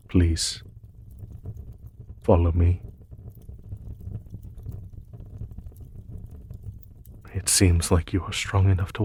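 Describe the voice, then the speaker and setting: A man playing a noble, weary, peace-seeking demon emperor in a fantasy audio roleplay, speaking in a formal, measured way. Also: sits low, slight background noise. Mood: gentle. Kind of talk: fantasy audio roleplay, spoken softly to one listener.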